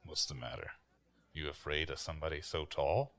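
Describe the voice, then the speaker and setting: A man voicing a towering goliath warrior in a tabletop game, blunt, unhurried and calmly menacing, with a deep gravelly voice. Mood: challenging.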